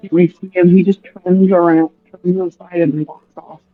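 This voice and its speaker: A woman with a husky voice giving casual, offhand let's play commentary in short bursts, recorded on a dull, lo-fi microphone. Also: fairly low pitch, little pitch movement, moderate pace, faint music behind. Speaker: gaming streamer.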